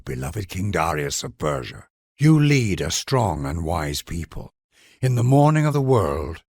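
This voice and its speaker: A man's voice: sits low, vocal fry, slightly rough, no room sound, clean studio sound. A man delivering grand scripted narration for a strategy game in a formal, reverent, theatrical manner.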